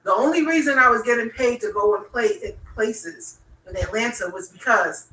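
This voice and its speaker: Woman, Bahamian accent, emphatic, opinionated, bright tone, echoey distant mic. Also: on the high side, moderate pace. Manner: emphatic, opinionated, building to excited peaks. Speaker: podcast co-host, DJ. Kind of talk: casual chat. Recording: roomy echo, mic at a distance.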